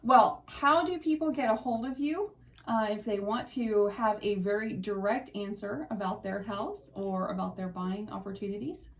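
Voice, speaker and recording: A woman's voice: medium pitch, normal speed. Chatty woman radio host. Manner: chatty, laughs often. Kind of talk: casual radio interview.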